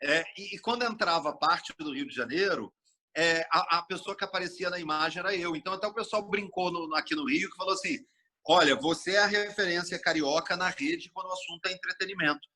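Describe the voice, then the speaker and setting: A middle-aged man from Brasília, a TV journalist telling stories in a casual interview, expansive and animated, acting out quoted speech. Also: high-pitched, quick pace. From Brasília, Brazil.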